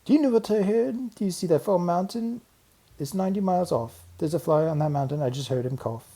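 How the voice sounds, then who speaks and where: A proud storybook rabbit, put on by a man narrator, pitched a little high. He boasts insistently and snaps at anyone who doubts him. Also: brisk, warm tone. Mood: bragging. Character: proud, snappish, bragging rabbit. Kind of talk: children's storybook character voice.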